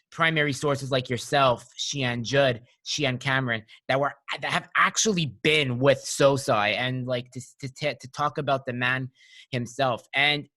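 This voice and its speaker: A man's voice: mid-range voice, sharp tone. A young man hosting an interview podcast, asking eager, rambling questions with many restarts, wearing a headset in a room at home. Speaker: podcast host. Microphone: headset with studio mic.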